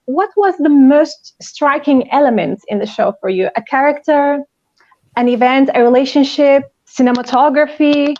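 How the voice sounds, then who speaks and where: Turkish native lady hosting a video chat, high voice, kinda muffled audio. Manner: lively, laughs often. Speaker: host. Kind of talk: hosting a live online chat. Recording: dull lo-fi sound.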